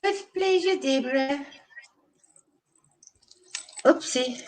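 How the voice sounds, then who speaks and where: A woman's voice: high voice, very slow. A woman whose first language is Afrikaans, speaking English with an Afrikaans accent as a crafter in a casual live interview, chatty and laughing often.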